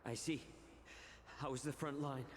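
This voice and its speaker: A man's voice: mid-range voice, level tone, normal speed, heavy background noise, lightly acted. A man voicing a devoted fox-folk healer in a video game, anxious but earnest and resolute. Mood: worried. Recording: reverberant, music behind.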